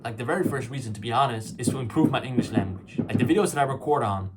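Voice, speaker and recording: A man's voice: medium pitch, fast talker, heavy background noise. A young man whose first language is Farsi, rambling through a reflective solo vlog with many fillers and restarts. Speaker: YouTuber. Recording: loud fireworks and clicks behind.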